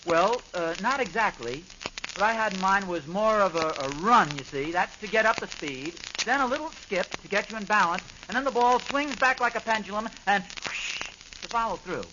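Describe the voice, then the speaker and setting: A man's voice: high-pitched, animated, normal speed. A man acting a defensive, stubborn middle-aged husband and father in an old-time radio situation comedy. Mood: musing. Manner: grumbling, protesting, self-justifying. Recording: muffled, crackly old radio recording.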